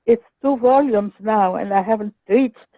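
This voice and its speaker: A woman's voice: mid-range voice, unhurried, no echo. An older woman from Egypt calling in to a talk show, telling her story in an earnest, hesitant way with many restarts. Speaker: caller. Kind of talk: phone-in sharing a personal story. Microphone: telephone. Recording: dry tinny phone line.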